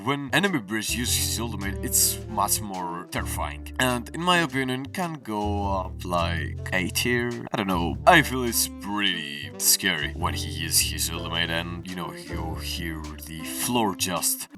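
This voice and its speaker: A man's voice: low-pitched, animated, a little slow, hardly any pauses, recorded from a distance. A man giving casual, opinionated gaming tier-list commentary in a conversational way. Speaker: video creator. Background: video game music.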